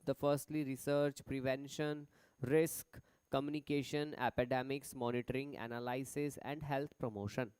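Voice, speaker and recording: A man's voice: mid-range voice, fairly even intonation, normal speed, a little mellow, clean tone, no echo, rough recording. A man with an Indian accent teaching a live online class, chatty as he reads points off slides and stops to answer students in the chat. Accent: Indian English.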